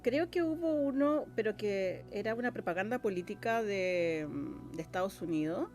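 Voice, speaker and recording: A middle-aged Chilean woman speaks casually as an interview guest. Her medium-pitched voice is hesitant and repetitive, with faint music behind it. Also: animated, normal speed, darkish tone. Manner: hesitant, searching, repeating herself. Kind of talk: casual conversation.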